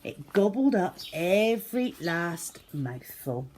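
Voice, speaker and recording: A woman reading a children's book aloud as a storyteller, in an expressive, theatrical manner. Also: fairly low pitch, takes it slowly, slight background noise. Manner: animated, theatrical, with acted-out sound effects.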